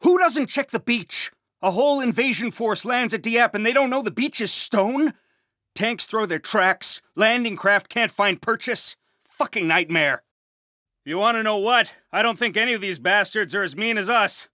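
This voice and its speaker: A man's voice: high-pitched, lively intonation, moderate pace, sharp tone, no echo, studio quality. A man playing a tough, cocky, sweary volunteer soldier in a war game, emphatic and salty. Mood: cocky, indignant. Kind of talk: video game unit voice lines.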